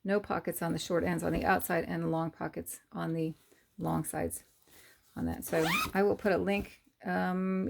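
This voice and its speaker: Hoarse, gravelly woman explaining sewing. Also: on the low side, darkish tone. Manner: rambling, hesitant with many fillers. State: hoarse, losing her voice. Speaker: sewing channel host. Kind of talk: casual sewing tutorial.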